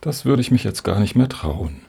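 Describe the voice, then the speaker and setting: An actor as a naive man on a panel in a radio satire. He asks tentatively in a raspy, creaky voice with lively intonation. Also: on the low side, moderate pace, full character voice. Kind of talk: satirical radio play dialogue.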